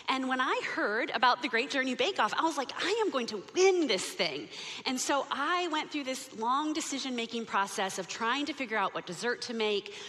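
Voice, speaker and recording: A woman pastor delivers a sermon with a bright, forceful tone and a slightly higher voice. Her storytelling turns lively and animated as she talks. The sound carries a long church-hall reverb. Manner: warm storytelling, animated when excited. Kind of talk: sermon with personal stories. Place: church sanctuary.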